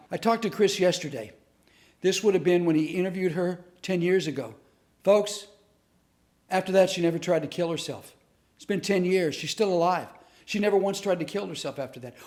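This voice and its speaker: A man with a fairly high voice tells true stories to teach a lesson. His delivery is earnest and animated. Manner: earnest, animated storyteller who acts out quotes. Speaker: comedic speaker and teacher. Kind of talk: storytelling lesson to camera.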